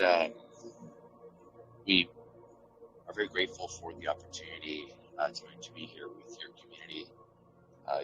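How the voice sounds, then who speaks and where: A man's voice: low voice, low-quality recording. A man from a project team speaking earnestly over a call, often repeating words as he goes.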